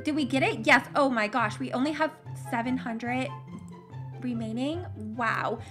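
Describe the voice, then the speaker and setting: A woman's voice: high voice, a little slow, over music. A young woman hosting a gaming channel, commenting casually with gushing gasps and giggles while losing her voice. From Canada.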